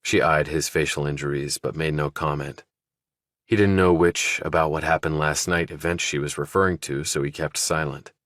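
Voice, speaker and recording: Male audiobook narrator for thriller fiction. Extremely low pitch, dry recording. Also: fairly even intonation, normal speed, a bit bright, no room sound.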